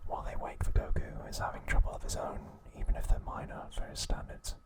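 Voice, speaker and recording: A man whispering softly and deliberately in short phrases, narrating a recap in ASMR style with a breathy, unvoiced voice. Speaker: host of an ASMR channel.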